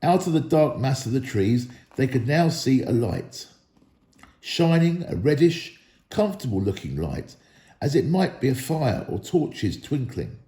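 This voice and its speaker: A man's voice: mid-range voice, sing-song, measured pace, mellow. Man reading a story, roomy echo. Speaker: storybook reader. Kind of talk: expressive scripted storybook narration.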